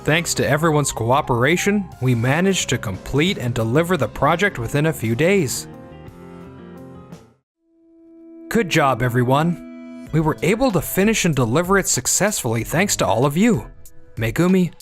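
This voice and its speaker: A man playing a fair-minded, encouraging office team leader in a manga dub, speaking in a measured, reassuring way. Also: on the high side, expressive, close to an everyday voice. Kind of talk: anime-style manga dub dialogue. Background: background music.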